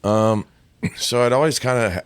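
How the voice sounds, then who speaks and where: A man from Ohio with a deep voice, a guest singer-songwriter talking casually about his craft, relaxed and chatty with lots of "dude" and "right?". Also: normal speed, clear, resonant tone, no room sound. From Ohio, USA. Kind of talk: casual podcast conversation.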